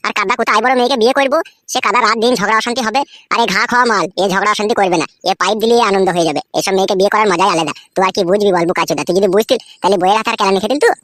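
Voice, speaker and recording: A woman's voice: medium pitch, rapid-fire, fairly bright tone, dry recording, lightly acted. A woman voicing a boastful, lecherous, foul-mouthed bachelor who schemes in a comedy cartoon. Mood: lustful, excited. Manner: crude, swaggering, foul-mouthed.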